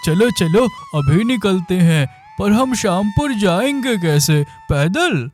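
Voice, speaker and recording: A naive, food-loving sidekick thief in a cartoon, voiced by a man. His voice sits very high and sounds eager and whiny. Faint music plays underneath. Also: sing-song, slow, exaggerated voice. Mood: silly.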